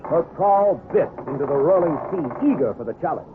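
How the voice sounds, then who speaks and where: A man's voice: fairly high pitch, expressive, fairly quick, lightly acted. A man narrating a seafaring adventure in florid, dramatic old-time radio style. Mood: dramatic, suspenseful. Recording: tinny, noisy vintage radio broadcast.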